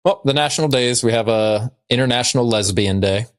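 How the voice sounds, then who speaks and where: A man's voice: medium pitch, brisk. A man co-hosting a podcast, reading out trivia and cracking jokes in a dry, casual way. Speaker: podcast co-host.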